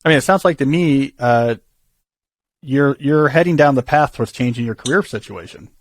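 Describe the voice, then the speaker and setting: A man's voice: medium pitch, lively intonation, moderate pace, no echo. A man hosting a call-in advice show, talking through ideas thoughtfully with frequent restarts. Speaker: podcast host giving career advice.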